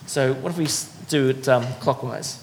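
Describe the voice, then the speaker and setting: A man's voice: medium pitch, normal speed, gravelly, slight background noise. A man hosting a dinner discussion, talking loosely and casually with many fillers and restarts. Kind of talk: casual welcoming talk to a group.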